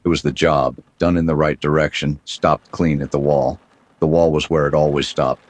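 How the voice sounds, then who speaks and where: A man narrating as a world-weary private detective, deadpan with dry wisecracks, in a 1930s-style noir radio drama. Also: extremely low pitch, normal speed.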